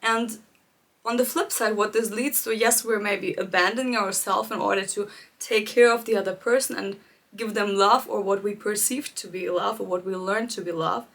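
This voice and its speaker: A woman coaching viewers in a live-streamed talk, speaking gently and reflectively, addressing them directly and laughing lightly between her points. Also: medium pitch, fairly even intonation, normal speed, creaky. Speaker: life coach hosting a live challenge.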